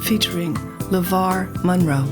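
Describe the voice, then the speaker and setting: A woman with a fairly low voice hosts an art podcast and speaks in a measured, formal way. Music plays clearly under her words. She is recording in an apartment. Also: steady tone. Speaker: podcast host. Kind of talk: scripted introduction and interview questions. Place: Manhattan apartment.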